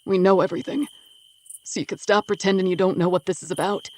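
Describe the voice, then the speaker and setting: A man's voice: sits high, steady tone, quick pace, darkish tone, close to an everyday voice. A woman voicing an anguished young man confronting his father, strained but firm. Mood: pained confrontation.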